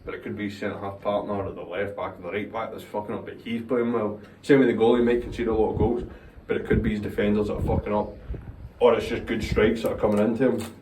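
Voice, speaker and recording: A man's voice: on the low side, flat delivery, fast, darkish tone, far from the mic, slight background noise. A young Scottish man chatting casually about football, opinionated and rambling, swearing now and then. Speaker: co-host. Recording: roomy echo, slightly off-mic, faint ambience.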